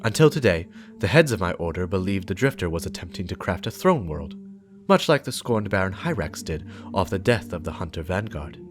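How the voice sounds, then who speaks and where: A low-pitched man playing a warlock investigator in a dramatic game-lore reading. The delivery is solemn, measured and cautionary, with a suspicious edge. Music plays clearly behind the voice. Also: sing-song. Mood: grave, urgent. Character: grave, suspicious warlock investigator briefing a guardian. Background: game soundtrack music.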